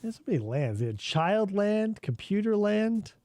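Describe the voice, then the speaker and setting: A man's voice: high voice, big pitch swings, moderate pace, over loud music. A man co-hosting a podcast, riffing casually on old commercials with crude jokes and mock voices. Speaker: podcast co-host.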